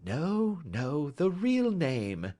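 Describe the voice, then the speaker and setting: A self-assured gentleman detective, voiced by a male reader, unhurried and genteel, a touch raised in pitch with lively rises and falls. He sounds quietly amused, the speech of an old storybook. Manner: polished, measured, sweetly ironic. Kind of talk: audiobook character voice.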